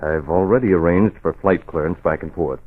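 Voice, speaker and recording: An actor playing a commanding crime-fighting hero in an old radio play, brisk and businesslike, on a vintage recording. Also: male voice, low voice. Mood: businesslike, determined. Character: commanding male crime-fighting publisher hero, secretly masked. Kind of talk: 1940s radio acting. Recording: noisy, narrow-band vintage radio recording.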